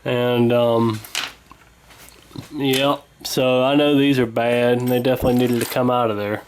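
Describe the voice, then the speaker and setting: A man's voice: mid-range voice, measured pace, a little hiss or hum, rough recording. Easygoing man talking through a radio repair. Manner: relaxed, rambling, many fillers. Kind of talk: casual workshop narration.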